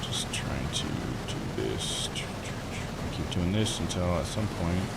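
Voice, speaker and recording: A man's voice: deep voice, animated, slow, vocal fry, in a whisper. A man live streaming a visual novel, rambling and thinking aloud in casual commentary. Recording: game music behind voice. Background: video game music.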